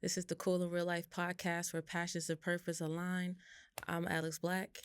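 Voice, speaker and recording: A woman with a slightly low voice hosts a casual podcast in an upbeat way. She mostly chimes in with short reactions. The recording is dry and close. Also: completely flat delivery, moderate pace, smooth, dry recording. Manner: upbeat, brief interjections and backchannels. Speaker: podcast co-host. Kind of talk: casual chat.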